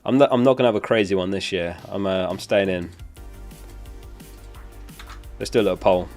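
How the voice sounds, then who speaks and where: A man's voice: low-pitched, fairly quick, darkish tone, soft background music. A young man hosting a live stream, chatting casually and answering questions from chat while getting over a lost voice. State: recovering from a lost voice. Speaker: streamer host.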